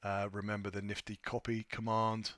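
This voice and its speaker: Bright-voiced man giving a software walkthrough. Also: on the low side, monotone, normal speed, no echo. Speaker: software tutorial presenter. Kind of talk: informal screen-share walkthrough.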